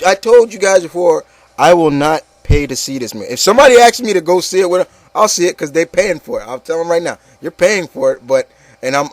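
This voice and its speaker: Man, slightly high voice, dry close sound, stammering, blunt, exasperated, casual opinion rant, swearing. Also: no echo. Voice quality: stammering, repeats words and phrases. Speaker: host of an opinion show.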